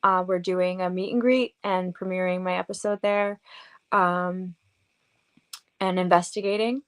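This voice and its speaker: A woman's voice: lowish voice, fairly even intonation, unhurried, a bit bright, smooth. A woman with a Long Island accent chatting casually as a show guest, in a playful, self-deprecating way. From Long Island, New York. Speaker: podcast guest, model and horror hostess. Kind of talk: casual chat.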